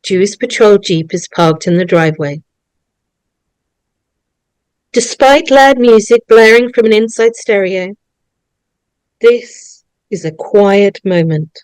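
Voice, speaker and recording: Woman narrating script directions, takes it slow, dry sound with no echo. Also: medium pitch, animated, darkish tone, smooth. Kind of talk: reading screenplay stage directions aloud.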